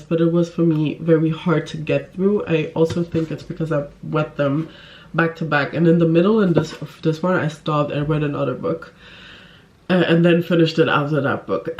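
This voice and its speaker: A woman's voice: on the low side, a little mellow. A woman chatting casually to camera as a book vlogger, with an informal, giggly, effusive manner.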